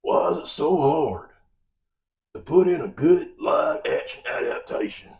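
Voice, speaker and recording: A man's voice: fairly high pitch, expressive, measured pace, gravelly, a little mellow, recorded from a distance. An American man giving an opinionated, exasperated film review rant in a folksy, emphatic way. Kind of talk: opinionated movie rant to camera.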